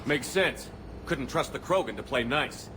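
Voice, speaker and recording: An actor playing a resolute, cool-headed military commander in a video game, speaking in terse, firm phrases. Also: male voice, mid-range voice, lively intonation, unhurried, background noise, close to an everyday voice. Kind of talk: video game cutscene acting.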